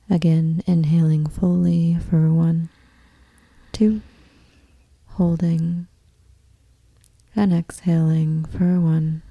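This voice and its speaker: A woman guiding a meditation in a soft, breathy near-whisper, with soothing, measured delivery. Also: low voice, dry recording, poor audio. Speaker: yoga nidra meditation guide. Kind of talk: guided meditation.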